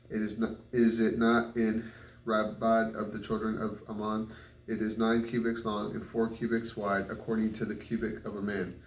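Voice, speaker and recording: A man reads scripture aloud in a flat, even tone, stumbling now and then over hard names and correcting himself. His voice is fairly low and sounds distant in an echoey room. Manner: halting on unfamiliar names, self-correcting. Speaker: Bible reader. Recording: echoey room, distant mic, faint noise.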